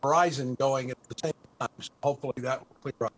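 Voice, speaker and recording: A man hosting an interview over a video call, speaking off the cuff in a halting, courteous and formally appreciative way. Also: mid-range voice, fairly even intonation. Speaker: panel host and interviewer. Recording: video call with occasional breakup.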